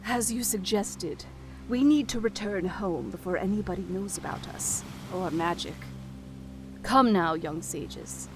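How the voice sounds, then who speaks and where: An actress playing a calm, authoritative elder sage in an audio drama. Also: female voice, mid-range voice, a little slow, music in the background, close to an everyday voice. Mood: calm, firm.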